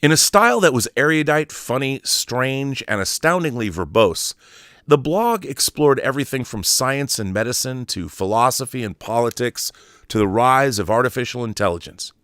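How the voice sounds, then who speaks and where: A man with a medium-pitched voice reads written journalism aloud as the host of an online show. He breaks from the text for short asides of his own. The sound is dry, with hardly any room in it. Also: animated, moderate pace, fairly bright tone, dry recording. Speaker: YouTube host. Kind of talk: reading an article aloud with brief asides.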